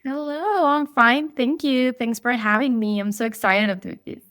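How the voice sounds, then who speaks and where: A woman's voice: high voice, normal speed, fairly bright tone, no echo, studio quality. A woman in her thirties from Mexico with a Mexican accent, chatting as a podcast guest in a friendly way, often restarting her sentences. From Monterrey, Mexico. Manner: friendly, with frequent restarts and light laughs. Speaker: guest psychologist and sleep coach. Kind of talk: casual interview chat.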